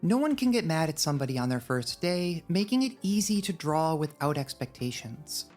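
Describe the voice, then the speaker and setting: A woman's voice: fairly low pitch, darkish tone. A woman narrating a scripted video essay in a wry, drily humorous manner. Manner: wry, dry humour.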